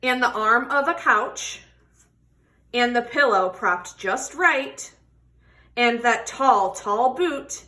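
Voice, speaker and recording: A woman's voice: fairly high pitch, lively intonation, takes it slowly, sharp tone. A woman reading a children's picture book aloud expressively, stretching words for emphasis and putting questions to young listeners. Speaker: read-aloud storybook reader.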